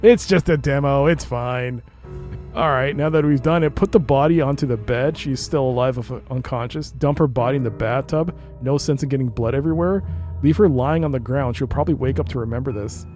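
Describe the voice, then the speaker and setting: A man's voice: mid-range voice, a little fast, darkish tone, soft background music. A man streaming a game, reading its narration aloud and adding casual commentary.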